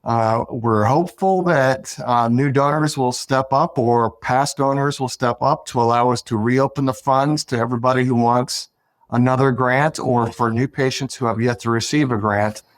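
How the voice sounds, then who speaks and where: A man appearing as an interview guest, explaining things in a measured way with hesitant fillers. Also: mid-range voice, fairly even intonation, dry recording. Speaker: guest, foundation executive. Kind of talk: podcast interview.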